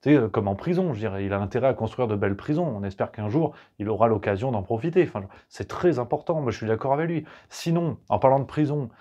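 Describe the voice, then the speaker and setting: A man's voice: mid-range voice, expressive, fast talker, few pauses, dark tone, dull audio. A man hosting a satirical political show, talking in a sarcastic, crude, conversational way. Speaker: host.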